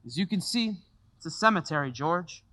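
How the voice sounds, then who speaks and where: Casting: performer as a calm, wise guardian angel, lively melodic pitch. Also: moderate pace. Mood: solemn. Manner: calm, gently instructive.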